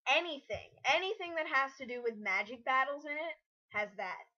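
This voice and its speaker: A woman's voice: high voice, lively intonation, a little fast, bright, forceful tone. A woman giving casual, mocking commentary on a read-aloud, incredulous and pedantic about grammar, recorded on a muffled lo-fi mic. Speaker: writer co-hosting a mock dramatic reading.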